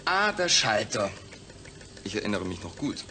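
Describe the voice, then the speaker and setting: Wary, low-voiced astronaut patient. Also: male voice, very animated, moderate pace, bright, forceful tone. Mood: wary, questioning. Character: astronaut waking in a new body, wary, wry. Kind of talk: German radio play acting.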